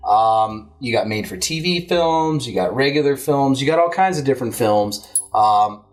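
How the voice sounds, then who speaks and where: A man hosts a movie show with music playing under his voice. He talks in a casual, joking way, trading crude banter at an ordinary mid pitch. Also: expressive, moderate pace. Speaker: host of a movie review show.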